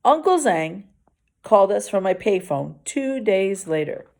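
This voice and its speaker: A woman's voice: mid-range voice, sing-song, a little slow, gravelly, darkish tone. A woman reading a children's novel aloud expressively, as a teacher does for a class.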